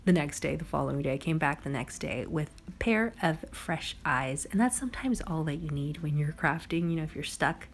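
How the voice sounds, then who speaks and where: A young woman with a hoarse, raspy voice from a cold, chatting casually through a crafting video voice-over. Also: deep voice, lively intonation, brisk, few pauses, slight background noise. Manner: chatty, bubbly, lots of "like" and "um". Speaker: crafting vlogger.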